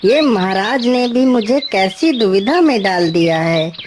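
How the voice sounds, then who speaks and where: A woman's voice: mid-range voice, animated, a little slow, noisy background, close to an everyday voice. A woman voicing a timid, fretful male farmer in a children's cartoon. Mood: frightened, fretful. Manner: fretful, self-muttering. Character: timid, anxious poor male farmer, easily frightened. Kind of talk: Hindi children's cartoon dialogue. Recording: loud music behind the voice.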